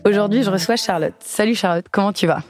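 A woman's voice: mid-range voice, fast, a little mellow, no room sound, studio quality, soft background music. A woman hosting a podcast, chatting and asking friendly, encouraging questions. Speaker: podcast host. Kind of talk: friendly interview.

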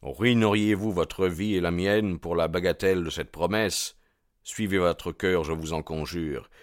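A man's voice: low-pitched, fairly even intonation, measured pace, no room sound, clean studio sound. A man voicing a bold, ardent young man in an audiobook, proud and insistent in his delivery. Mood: urgent pleading. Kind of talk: audiobook character dialogue.

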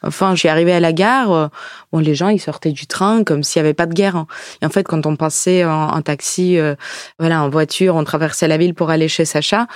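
A woman's voice: deep voice, fairly even intonation, fairly quick, no room sound, clean studio sound. A woman from Ukraine giving a personal account in conversation, reflective and often searching for words. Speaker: podcast guest, diarist.

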